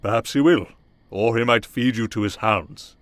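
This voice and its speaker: A man's voice: medium pitch, moderate pace, no room sound, natural voice. A man playing a stern, imposing vampire duke in a fantasy audio drama, speaking in a curt, formal, commanding way.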